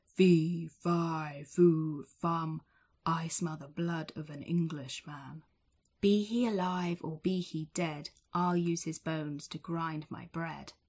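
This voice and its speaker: A woman's voice: fairly even intonation, takes it slowly, breathy edge, full character voice. A woman voicing a huge, menacing male giant who bellows threats in a storybook reading. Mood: menacing roar. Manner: booming, shouted threats.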